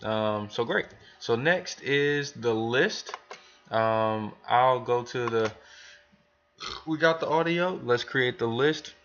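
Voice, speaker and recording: A man giving a casual, rambling software tutorial for new hires, thinking aloud as he talks through each step. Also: medium pitch, slow, lo-fi sound, a little hiss or hum. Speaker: business trainer demonstrating software to new hires. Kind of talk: casual screen tutorial, talking through steps.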